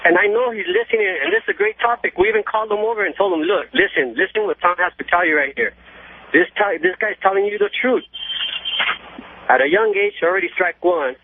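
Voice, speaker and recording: A man's voice: sits high, expressive, fast, vocal fry. A man phoning in to a radio show, chatting casually as a caller. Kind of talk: casual phone-in chat. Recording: tinny, muffled phone line.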